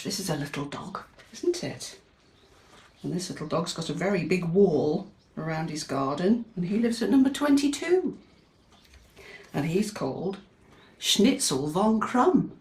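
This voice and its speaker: A British woman teacher reading a picture book aloud to young children, expressive and chatty, often asking her listeners questions. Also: lowish voice. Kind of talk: animated children's storytime read-aloud with chatty asides. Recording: echoey, reverberant room.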